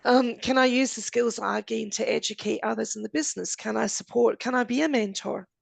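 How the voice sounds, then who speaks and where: An Australian woman giving a presentation in a croaky voice, posing quick rhetorical questions. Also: fairly high pitch, no echo. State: croaky, coughing.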